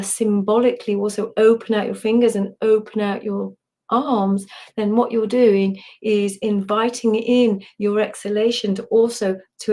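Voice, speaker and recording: A woman with a hoarse, partly lost voice, gently guiding a live online voice and breathing workshop, meandering with restarts and asides. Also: medium pitch. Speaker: somatic voice and movement teacher.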